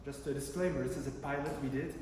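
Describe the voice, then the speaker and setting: A man's voice: medium pitch, steady tone, fast, a little mellow. Man presenting in an echoey hall. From Czech Republic. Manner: conversational, many fillers and restarts. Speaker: conference presenter, PhD researcher. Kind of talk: academic conference talk. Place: large conference room. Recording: echoey hall from far off, room noise.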